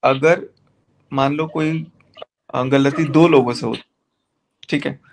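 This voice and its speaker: A man's voice: mid-range voice, steady tone, slow pace, vocal fry, slight background noise. A man talking in an insistent, argumentative way in a heated personal conversation on a recorded group phone call.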